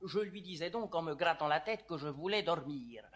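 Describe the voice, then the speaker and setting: An actor playing a talkative, sly, grumbling servant in a classical French verse comedy, theatrical and declamatory. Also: male voice, on the high side, normal speed, a bit bright, lightly acted. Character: comic talkative male servant doorkeeper, sly, grumbling, sleepy.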